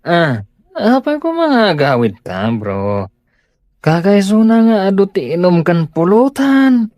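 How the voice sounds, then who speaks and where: A wheedling male drinking buddy in a radio drama, acted by a man. He drawls slowly, swinging his pitch up and down with exaggerated interjections. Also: big pitch swings, a little mellow, no room sound, heavily acted. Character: wheedling drinking buddy eager for imported liquor. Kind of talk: Ilocano radio-style drama acting.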